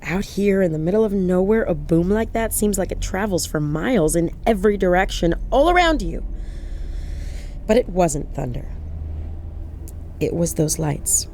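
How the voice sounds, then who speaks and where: A woman's voice: lowish voice, expressive, normal speed, slight background noise. A woman playing a haunted, sardonic lone driver, confiding her story as horror drama narration. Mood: uneasy. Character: haunted, wry woman driving alone at night, narrating. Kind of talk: first-person audio horror drama narration. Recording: loud music bed under voice. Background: music and vehicle sounds.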